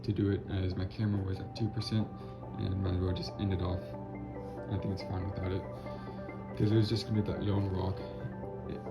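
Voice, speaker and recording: A man with a raspy voice talking casually about his finished spray painting, hesitant with many pauses and fillers. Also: lowish voice. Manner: hesitant, many ums and restarts. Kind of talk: casual video commentary. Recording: muffled audio with loud music behind. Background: loud background music.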